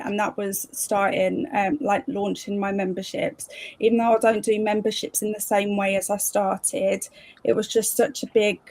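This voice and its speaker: A woman's voice: on the high side, darkish tone, dry recording, poor audio. A woman hosting a casual livestream party on a video call, chatting loosely with plenty of fillers and little giggles. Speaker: host.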